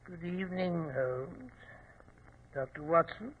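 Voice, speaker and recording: An actor playing a suave, grandiose criminal mastermind with measured, courteous menace in 1940s radio style. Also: male voice, a little high, animated, husky, exaggerated voice. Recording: muffled, noisy 1940s radio recording.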